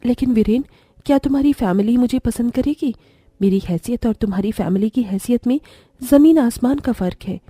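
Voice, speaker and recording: A woman voicing a gentle, earnest young daughter-in-law from a poor family in a cartoon story. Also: a little high, fairly quick, no echo, natural voice. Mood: worried. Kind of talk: Hindi cartoon story voice acting.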